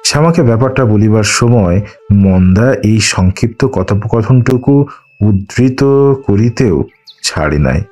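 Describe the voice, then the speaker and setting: A man's voice: on the low side, animated, unhurried, darkish tone. A man reading a literary story aloud as a scripted audiobook narration. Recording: music under the voice. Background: background music.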